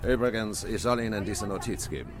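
An actor playing an affable, courteous British police officer in a German radio crime drama. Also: male voice, fairly low pitch, animated, fairly quick. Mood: courteous, genial. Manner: courteous, sociable. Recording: busy public place with crowd noise.

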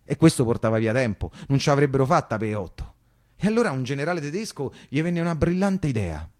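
A man's voice: medium pitch, sing-song, fast talker, a little mellow, lightly acted. Guy acting as a troubled roman working man, thick street talk, keeps repeating himself, radio play monologue about wartime, roman accent, mid voice. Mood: grim, vivid. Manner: insistent repetition, rhetorical questions, colloquial. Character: anguished working-class Roman nephew recounting wartime occupation horrors. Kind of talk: theatrical radio monologue storytelling.

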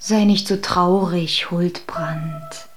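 A woman playing a tender, devoted water spirit who pleads in soft, breathy whispers. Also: fairly low pitch, steady tone, slow pace, over music. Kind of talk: radio drama acting.

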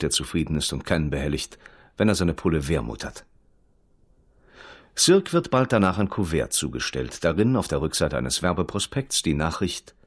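A man narrating a radio crime play as a detached storyteller, terse and dry, with a husky voice. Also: very deep voice, heavily acted. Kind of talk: scripted radio drama narration.

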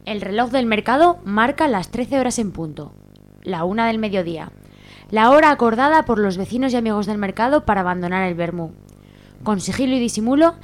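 A woman's voice: mid-range voice, a little hiss or hum, close to an everyday voice. A woman with an accent from Spain reading scripted narration for a radio drama.

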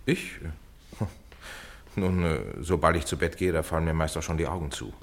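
A middle-aged family doctor in a radio drama. His voice is deep, and he speaks in a composed, courteous, measured way. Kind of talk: German radio play acting.

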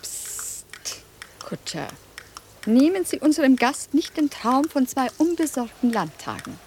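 A woman's voice: sits high, big pitch swings, unhurried, a little mellow, a little hiss or hum, exaggerated voice. An actress playing a refined, teasing, self-possessed lady in a German radio play, speaking in a playful, genteel way. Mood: composed, commanding.

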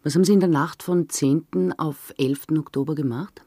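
A man's voice: on the high side, fairly quick, warm tone, breathy edge, dry recording. A man playing a dry, formal, insistent police inspector in a radio drama. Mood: probing, stern. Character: dry, businesslike police inspector conducting an interrogation.

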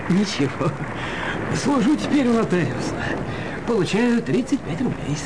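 Radio play: humble reformed drunkard, high-pitched, deferential, emotional, lively swings, loud music underneath. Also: male voice, moderate pace, very noisy. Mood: meek. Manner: deferential, old-fashioned servile politeness, emotional storytelling. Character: meek, grateful former drunkard beggar turned humble clerk. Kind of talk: theatrical radio play acting of classic literature.

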